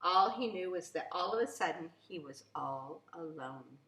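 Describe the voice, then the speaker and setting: A woman reading a children's storybook aloud in an expressive, deliberate storytelling way, with emphasis on key words. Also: fairly low pitch. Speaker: children's story reader, preschool teacher. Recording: echoey room.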